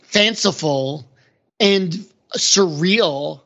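A man's voice: high-pitched, very slow, gravelly, sharp tone. A male podcast panelist talks through ideas in an earnest, explanatory way. His delivery is conversational and analytical. The audio is somewhat muffled and lo-fi. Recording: dull, lo-fi audio.

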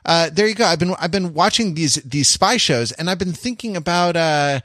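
A man's voice: on the high side, animated, normal speed, a bit bright, no echo, clean studio sound. A man hosting a podcast in casual chat, talking in a wordy, discursive, wry way. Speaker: podcast host. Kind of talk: casual chat about TV shows.

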